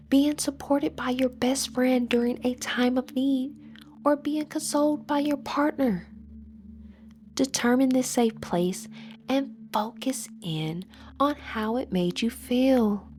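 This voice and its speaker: Slow, higher-pitched woman guiding meditation. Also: darkish tone. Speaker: guided meditation instructor. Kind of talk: scripted guided meditation.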